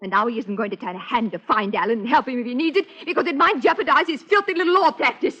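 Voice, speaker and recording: A woman's voice: sits high, fast, a bit bright, slightly rough. An actress playing a bitter, defiant, accusing woman with an emotional, sarcastic manner in an old-time radio melodrama. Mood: angry, defiant. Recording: muffled old radio recording.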